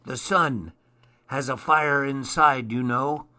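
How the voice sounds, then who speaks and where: A man voicing a lively, cheeky young male woodland character in a storybook, eager and exclaiming. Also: medium pitch, slow. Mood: matter-of-fact.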